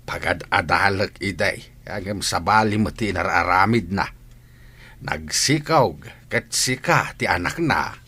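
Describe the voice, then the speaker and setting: A man acting a stern elderly grandfather in a radio drama, earnest and admonishing, with a rough voice and a Filipino accent. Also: low voice, animated, a little slow, sharp tone, full character voice. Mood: stern, urging. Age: older.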